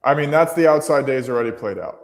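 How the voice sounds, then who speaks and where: A man's voice: mid-range voice, lively intonation, quick pace, long reverb tail. A man hosting a live trading stream, talking casually and thinking aloud, with many fillers and restarts.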